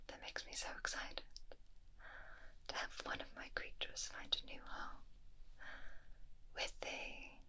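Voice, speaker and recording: A woman playing a polite, formal keeper of magical creatures in a soft, deliberate ASMR roleplay, close on a binaural mic. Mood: pleased, gentle. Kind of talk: whispered ASMR fantasy roleplay. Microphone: close binaural microphone.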